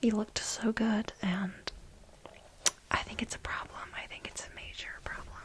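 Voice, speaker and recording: A woman playing a flustered, lovestruck office assistant in a soft-spoken ASMR role play, breathy and halting, with a dramatic, confiding manner. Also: fairly high pitch, level tone, natural voice. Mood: flustered, smitten. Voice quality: breathy, whispery. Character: flustered, lovestruck female office assistant confessing to married boss.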